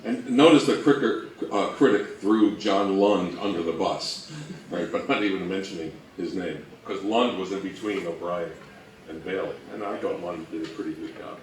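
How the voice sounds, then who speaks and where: A man presenting an informal history talk, speaking in a halting, thinking-aloud way, recorded away from the mic. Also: low-pitched, recorded from a distance. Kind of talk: informal lecture on old radio history. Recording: roomy, off-mic, faint noise.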